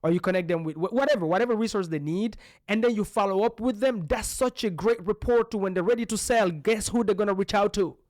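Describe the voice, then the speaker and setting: A man's voice: high-pitched, fast, hardly any pauses, a little mellow, no echo, studio quality. A man from Belgium co-hosting a podcast, coaching listeners in an emphatic, punchy way full of rhetorical questions. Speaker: podcast co-host. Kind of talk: real estate coaching talk.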